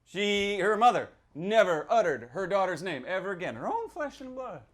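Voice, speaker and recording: A man playing a folksy American small-town storyteller, telling a dark witch legend in a theatrical, conspiratorial way with questioning tags. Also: sits high, very animated, normal speed. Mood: grave, emphatic. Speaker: author reading from a novel. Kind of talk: theatrical in-character reading of horror fiction.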